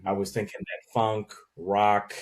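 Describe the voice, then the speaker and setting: A man hosting a casual interview, relaxed and conversational, reacting with short affirmations. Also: on the low side, a little slow. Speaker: host.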